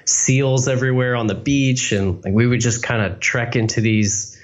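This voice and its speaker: A man's voice: mid-range voice, steady tone, moderate pace, highs cut off. A man chatting casually as a podcast guest, laid-back, swearing often and saying "like" a lot. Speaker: podcast guest, concept artist. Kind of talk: casual chat.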